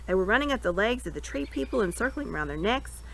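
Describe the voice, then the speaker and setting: Animated woman reading a storybook aloud. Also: mid-range voice, fast talker, gravelly. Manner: expressive, dramatic storytelling. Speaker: reader. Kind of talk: reading a children's fantasy novel aloud. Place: outdoors in a front yard. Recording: noisy outdoor amateur webcam recording.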